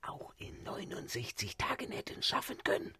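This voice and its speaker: An actor playing an eager, talkative French manservant in a radio play, his words tumbling out in excitement. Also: moderate pace, in a whisper, close to an everyday voice. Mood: excited.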